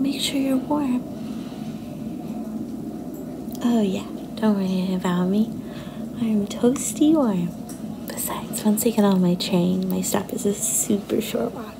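A woman playing a sweet, insecure young girlfriend in a soft-spoken ASMR roleplay, hesitant and coy, often slipping into a breathy whisper. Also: medium pitch, unhurried. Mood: caring, reluctant. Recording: noticeable background noise and ambience.

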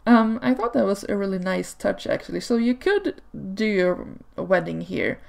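A woman whose first language is Swedish, chatting casually over gameplay footage in a relaxed way, with frequent ums and small laughs. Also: medium pitch, moderate pace, gravelly. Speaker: video creator. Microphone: home desk microphone.

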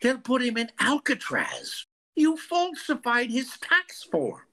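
An older man playing an exasperated, dry-witted psychiatrist in a 1940s-style radio comedy, with a husky voice. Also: very high voice, wildly swooping pitch, slow, sharp tone, heavily acted. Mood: grave, exasperated.

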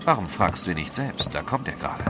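An actor playing a resolute, blunt gentleman adventurer in an audio drama, grave and urgent in delivery. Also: low-pitched, big pitch swings, quick pace, background noise. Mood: grim. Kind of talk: German radio play acting. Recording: prominent music behind the dialogue.

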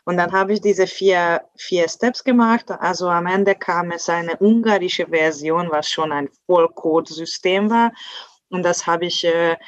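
Slow, halting woman on a dull call. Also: on the low side, no room sound. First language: Hungarian. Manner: halting, searching for words, many restarts. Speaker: translator, guest. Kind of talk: video call conversation. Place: indoors at home in front of a blue backdrop. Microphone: earphone headset on a video call. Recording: dull lo-fi video call audio.

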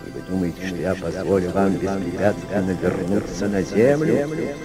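An actor in a radio play voicing a proud, commanding, reclusive submarine captain, cold and measured. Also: male voice, low voice, over music, lightly acted. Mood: cold menace.

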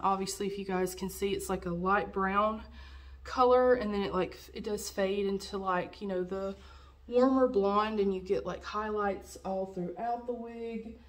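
A woman's voice: mid-range voice, steady tone, moderate pace. A young woman with a cold, a little nasal, chatting through a wig review at home with lots of fillers, recorded on a camera in front of her. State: has a cold, slightly nasal. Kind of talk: casual product review vlog. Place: dim room at home, indoors.

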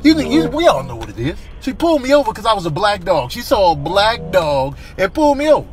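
A man's voice: high voice, huge pitch swings, brisk, soft background music. A man voicing an indignant dog in a comedy clip, ranting in emphatic slang. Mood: outraged.

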